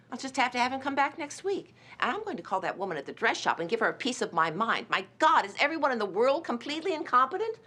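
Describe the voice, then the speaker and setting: A woman's voice: a little high, sing-song, fast talker, pushed and bright, heavily acted. An actress playing an imperious, exacting society mother with a clipped, commanding manner. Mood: exasperated. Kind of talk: TV drama dialogue.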